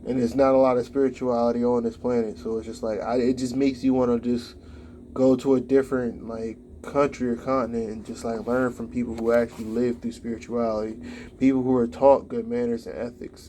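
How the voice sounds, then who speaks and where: Flat-voiced man, late twenties. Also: mid-range voice, a little hiss or hum. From United States. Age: late 20s. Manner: rambling, heavy on "like" fillers. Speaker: vlogger giving spiritual advice. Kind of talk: casual spiritual monologue.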